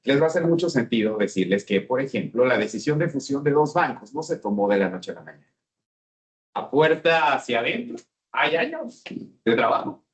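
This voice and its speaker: A man with a Mexican accent giving a business seminar talk and often asking the audience questions. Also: mid-range voice. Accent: Mexican Spanish. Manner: engaging, asks the audience questions. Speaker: speaker.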